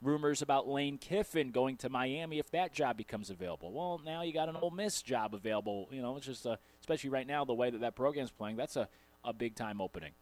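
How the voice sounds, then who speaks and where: A man's voice: mid-range voice, fast. An American man from Connecticut hosting a sports radio show, talking in a rambling, informal monologue. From Connecticut, USA. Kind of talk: conversational sports talk monologue. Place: radio studio.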